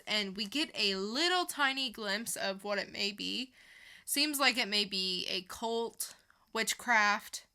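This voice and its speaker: A woman's voice: on the high side, pushed and bright, clear, resonant tone. A woman co-hosting a podcast, chatting casually in a hesitant, informal way. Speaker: podcast co-host. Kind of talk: casual chat.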